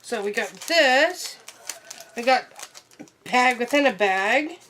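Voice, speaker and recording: A young woman chatting casually through an unboxing while sick, her voice nearly gone, excitable and full of ums. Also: fairly high pitch, slow pace, slight background noise. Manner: excitable, many ums and trailing asides. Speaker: unboxing vlogger.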